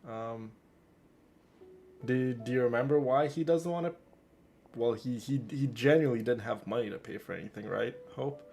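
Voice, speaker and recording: A man livestreaming a game with casual, chatty commentary, often halting and repeating words. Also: medium pitch, gravelly, darkish tone, faint music behind.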